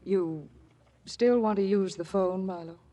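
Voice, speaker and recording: A woman's voice: medium pitch, measured pace, music in the background, natural voice. An actress playing a flirtatious, forward, wealthy married woman in a radio drama, coy and teasing. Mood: chatty, curious.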